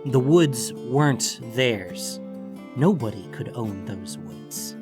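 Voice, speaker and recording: A man's voice: mid-range voice, animated, slow pace. Brief: male narrator for a fantasy novella, mid-range voice, scripted storytelling over faint music.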